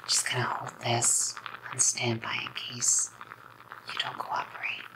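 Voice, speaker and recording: A young woman doing a softly menacing, possessive girlfriend ASMR roleplay in a controlling, mostly whispered voice. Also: extremely low pitch, sing-song, vocal fry, very noisy. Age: young adult. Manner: soft, slow, controlling girlfriend act. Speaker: ASMR performer. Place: small dim room indoors.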